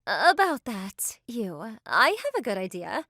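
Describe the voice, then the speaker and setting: A woman's voice: fairly high pitch, big pitch swings, slow pace, a bit bright, no echo, clean studio sound, full character voice. A woman voicing a sweet, devoted young woman in a manga dub, speaking earnestly. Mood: shy, affectionate.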